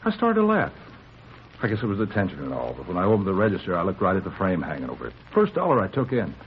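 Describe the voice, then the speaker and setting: Boastful American barkeep, low swooping pitch, clipped slangy sentences, shaken witness, muffled old radio recording. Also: male voice, wildly swooping pitch, fast, slight background noise, heavily acted. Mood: animated recounting. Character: tough, self-justifying bar owner, shaken robbery witness. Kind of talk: 1950s radio police drama acting.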